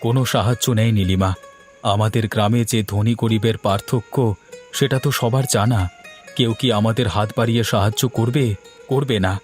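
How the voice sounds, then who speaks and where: A man's voice: fairly low pitch, fairly even intonation, a little fast, lightly acted. A man voicing a poor, despairing village father in a melodramatic cartoon, plaintive and bitter. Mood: weary, bitter. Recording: music behind the voice. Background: background music.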